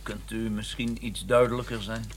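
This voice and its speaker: A man's voice: fairly low pitch, fairly even intonation, moderate pace, a little hiss or hum, lightly acted. A man playing a courteous, persistent middle-aged academic in a radio drama, with a polite, formal and insistent manner. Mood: affronted, insistent. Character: courteous, persistent Cambridge academic, donnish and easily affronted. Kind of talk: radio drama acting with first-person narration.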